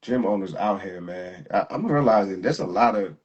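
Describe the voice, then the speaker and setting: Host role: a man with a lowish, husky voice, laid-back and slangy, laughs easily. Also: normal speed, highs cut off. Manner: relaxed, laughing, slangy, repeats words. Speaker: jiu-jitsu instructor and host. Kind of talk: casual live interview chat.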